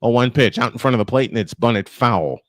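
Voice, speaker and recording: Male play-by-play host, medium pitch, dry sound, conversational live sports commentary with banter. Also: animated, fairly quick, no room sound.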